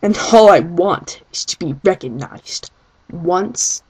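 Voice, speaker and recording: Woman doing a husky, bitter tomcat warrior. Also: lowish voice, animated, slow, a little mellow, dry recording, pitched below the performer's own voice, heavily acted, rough recording. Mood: bitter, scornful. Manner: scornful, intense. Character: bitter, hostile male warrior cat, deeper voice.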